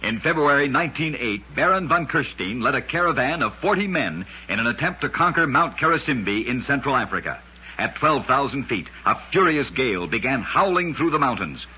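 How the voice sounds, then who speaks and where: A man with a raspy voice narrating scripted oddities for an old-time radio show in a dramatic, emphatic storyteller's delivery. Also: medium pitch, big pitch swings, brisk, bright, forceful tone. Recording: dull, lo-fi vintage radio recording.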